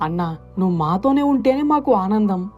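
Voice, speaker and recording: A woman voicing an earnest, pleading younger brother from a poor fishing family in an animated moral story. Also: medium pitch, a little fast, dull audio, faint music behind, close to an everyday voice.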